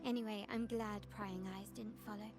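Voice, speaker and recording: A woman voicing an elegant, composed young noblewoman in a video game, speaking in a measured, refined way over background music. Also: medium pitch, fairly even intonation, natural voice. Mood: poised, lightly teasing.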